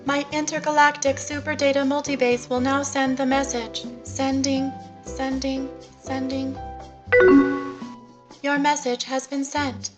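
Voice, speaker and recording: Woman acting a high, even-voiced haughty ship's computer. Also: over music. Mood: coolly formal. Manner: formal, measured, repetitive machine phrasing. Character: haughty female ship's computer, precise and condescending. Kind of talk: comic sci-fi radio serial acting.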